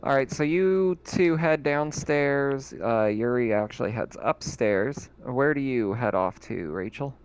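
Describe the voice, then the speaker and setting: Smooth-voiced man running a tabletop game. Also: medium pitch, unhurried, few pauses, low-quality recording. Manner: relaxed, chatty, laughs often. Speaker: game master. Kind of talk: casual tabletop game narration.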